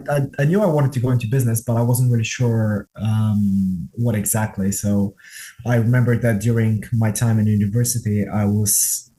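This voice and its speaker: Male interview guest, late 20s, Bulgarian accent. Very soft-edged, relaxed timbre, self-effacing conversational delivery. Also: mid-range voice, poor audio. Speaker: interview guest, software tester. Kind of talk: casual interview chat.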